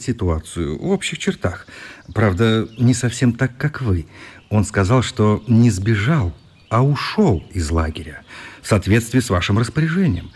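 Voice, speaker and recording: An actor playing a good-natured journalist in a radio play, conversational, wry and mock-polite. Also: male voice, mid-range voice, darkish tone, husky.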